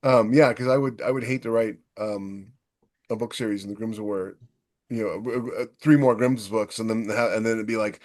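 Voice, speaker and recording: A man's voice: on the low side, fairly even intonation, moderate pace, a little mellow. A man hosting a podcast in casual interview chat, probing and informal, with blunt interjections. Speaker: podcast host, author.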